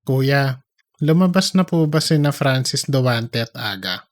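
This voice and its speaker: A woman's voice: extremely low pitch, expressive, unhurried. A woman voicing male plant workers caught in an emergency, in audiobook character dialogue. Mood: worried.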